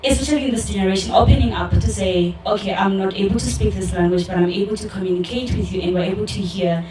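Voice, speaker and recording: A young woman from South Africa speaking candidly and earnestly at a community discussion, pausing with fillers. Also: mid-range voice, dark tone, a little hiss or hum. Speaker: audience member in a community dialogue. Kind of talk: personal public discussion. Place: event hall with audience. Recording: roomy, distant mic in a hall.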